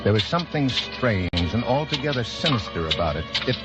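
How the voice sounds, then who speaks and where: An actor playing a blind detective captain, composed and courteous, with a measured, wry delivery in old-time radio drama style. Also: male voice, medium pitch, sing-song, close to an everyday voice. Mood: brooding, wry. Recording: old radio broadcast with music behind, muffled.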